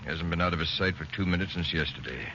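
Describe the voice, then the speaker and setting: An actor playing a brisk, authoritative American police captain with clipped delivery in 1940s radio style. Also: male voice, low-pitched, sharp tone. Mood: grim. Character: brisk, commanding male police captain briefing his officers. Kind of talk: 1940s radio acting, crime drama. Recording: muffled, noisy old radio recording.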